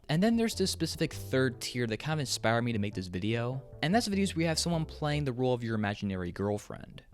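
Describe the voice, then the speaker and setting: A man delivers informal, opinionated commentary as a video host. His mid-pitched voice moves around a lot, with wry asides dropped into the scripted flow. Soft music plays behind him. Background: background music.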